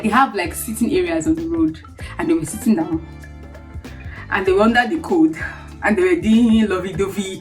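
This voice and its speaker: Animated young Nigerian woman vlogger chatting. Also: medium pitch. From Lagos, Nigeria. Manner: animated, exclamatory, acting out reactions. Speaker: vlogger, international student. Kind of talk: casual chatty storytelling to camera.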